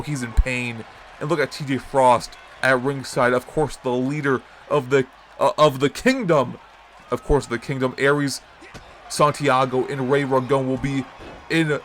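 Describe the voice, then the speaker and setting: A man with a stuffy nose and sore throat calling a wrestling match as host, excitable and jumping to shouts on big moves. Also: mid-range voice, a little hiss or hum. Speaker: wrestling commentator and show host. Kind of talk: play-by-play commentary.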